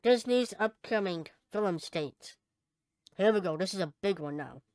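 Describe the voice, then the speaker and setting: A woman co-hosting a casual podcast, chatting and reading out news items, somewhat halting when she reads aloud. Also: medium pitch, expressive, a little mellow, no room sound. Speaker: podcast co-host.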